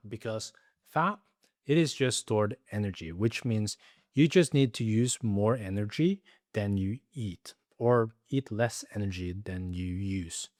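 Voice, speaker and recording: A fitness coach talking straight to camera in a scripted video, direct with a dry, joking manner. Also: male voice, medium pitch, no echo.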